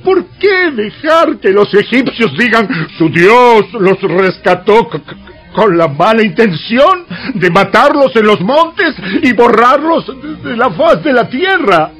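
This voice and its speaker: Male pleading, indignant prophet for audio Bible drama; high, halting, drawn-out delivery over music. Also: sing-song, a bit bright, husky, heavily acted. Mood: anguished pleading. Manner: halting, stammering.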